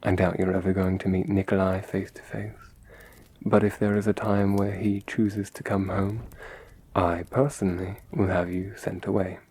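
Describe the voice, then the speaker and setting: A man acts out a young male vampire, courteous and brooding, in an ASMR roleplay. The voice is dark, mellow and husky, with little rise and fall. He sounds measured, formal and protective. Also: deep voice, flat delivery. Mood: softly reassuring.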